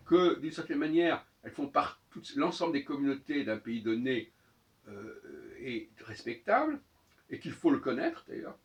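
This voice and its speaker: A man gives an unscripted expository talk in a halting, didactic manner, often rephrasing and repeating key words. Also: mid-range voice. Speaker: speaker giving an opinion talk. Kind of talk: extemporaneous expository monologue. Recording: voice distant from the microphone.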